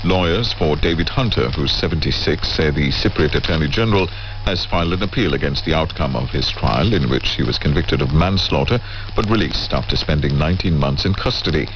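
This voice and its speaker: A man's voice: a bass voice, animated, fairly quick. A British man reading scripted news as a radio presenter, heard over shortwave radio. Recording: dull, noisy shortwave radio relay.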